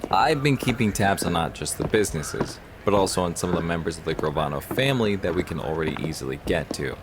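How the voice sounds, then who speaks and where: A man's voice: low-pitched, lively intonation, fast, background noise. A man playing a shrewd, determined police investigator in an audio drama. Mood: focused.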